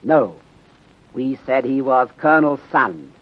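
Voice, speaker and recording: A man playing a wise, courteous middle-aged Chinese detective in 1930s radio drama, speaking formally and deliberately in clipped sentences that drop their articles. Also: mid-range voice, animated, lightly acted. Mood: grave, resolute. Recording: dull muffled 1930s radio recording.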